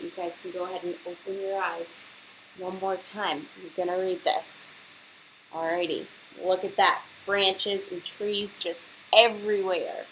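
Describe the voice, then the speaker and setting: A woman reads aloud to a class of children at an unhurried, slow pace. She explains and prompts like a teacher, over a faint bed of hiss. Her pitch sits in an ordinary female range. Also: a bit bright, rough recording. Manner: instructional, pausing to prompt young listeners. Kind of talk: picture-book read-aloud with questions to children.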